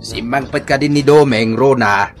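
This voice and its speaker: A man's voice: mid-range voice, normal speed, faint music behind, lightly acted. An actor playing a bossy older village father-in-law in a radio comedy drama, commanding and grumbling with an Ilocano accent. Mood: impatient.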